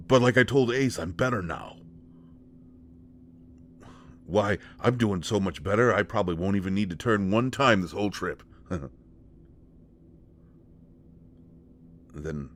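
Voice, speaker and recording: A man playing a gentle, awkward, devoted companion in an intimate one-sided roleplay audio drama, speaking in a halting, stammering way. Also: low voice, a little hiss or hum. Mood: hesitant, reassuring.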